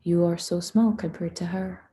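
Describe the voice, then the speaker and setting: A woman leading a guided meditation in a hushed, soothing, unhurried manner, with long pauses between phrases and a soft, breathy voice. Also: lowish voice, fairly even intonation.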